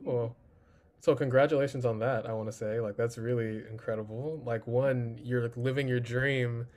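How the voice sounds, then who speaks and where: A man co-hosting an interview, asking questions in a relaxed, casual way with many fillers. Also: medium pitch, moderate pace. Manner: casual, relaxed, uses "like" a lot. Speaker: co-host.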